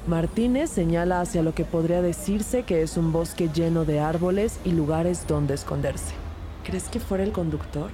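A woman playing a brisk police detective who narrates her own case in a scripted audio drama with measured delivery. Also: lowish voice, close to an everyday voice. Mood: composed. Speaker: narrator and lead actor. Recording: loud music bed under voice. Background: loud music.

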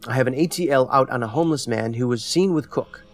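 A man's voice: medium pitch, quick pace, close to an everyday voice. Man acting as a dry, terse federal agent. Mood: matter-of-fact. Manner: dry, terse, matter-of-fact. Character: dry-witted male federal agent, terse, independent, self-assured. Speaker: federal investigator. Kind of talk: audio drama acting. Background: faint music.

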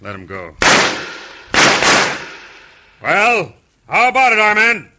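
A man's voice: high-pitched, huge pitch swings, slow pace, heavily acted. An actor playing a ruthless, gloating western gunman and double-crosser in an old radio drama. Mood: cold, commanding. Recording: old radio recording, faint noise.

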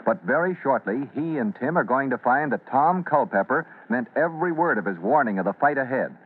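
A man's voice: mid-range voice, lively intonation, brisk, breathy edge. A man narrating as a theatrical, emphatic announcer for an old-time radio serial. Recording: tinny, noisy old radio broadcast.

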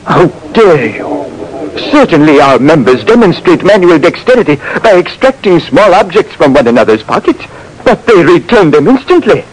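A man's voice: fairly high pitch, big pitch swings, moderate pace, voice pushed up, poor audio. A man voicing an elderly, formal society elder in an old-time radio drama, stiff, pompous and precise. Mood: indignant.

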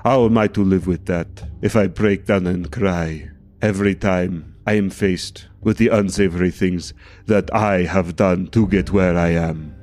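A man's voice: low voice, fairly even intonation, a little slow, darkish tone. A man playing a proud, hardened, brooding brother in a tabletop game, stoic yet anguished, speaking in grave, formal, theatrical language. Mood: indignant, pained. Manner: grave, formal, theatrical speech without contractions.

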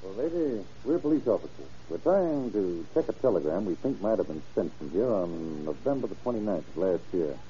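A steady police officer in an old radio crime drama. His voice is very dark and fairly low, with a methodical, matter-of-fact delivery. The recording is dull. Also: fairly quick, few pauses, a little hiss or hum. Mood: purposeful. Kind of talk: 1940s-50s radio crime drama acting.

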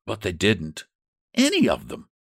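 A man's voice: lowish voice, wildly swooping pitch, measured pace, creaky, a bit bright, slightly rough, no echo, clean studio sound, heavily acted. A man voicing a shy, humble young housemaid who talks in homely, ungrammatical speech. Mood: wistful. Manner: homely rural grammar, earnest and simple.

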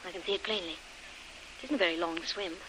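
Brisk, plucky young adventuress. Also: female voice, fairly low pitch, expressive, a bit bright. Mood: brave, reassuring. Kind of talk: 1930s radio adventure serial acting. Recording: noisy old radio recording.

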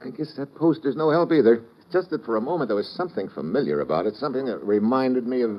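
An actor playing a wry, dogged American investigator in an old-time radio drama, with slangy, hard-boiled delivery. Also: male voice, medium pitch, expressive, fast talker, natural voice. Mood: intent. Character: wry, dogged male American investigator narrating his case. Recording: muffled, hissy old radio recording.

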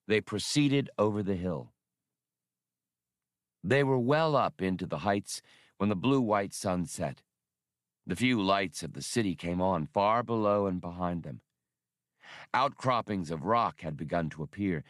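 A man's voice: low voice, animated, measured pace, no room sound, studio quality. A man reading a novel aloud as a scripted audiobook narration.

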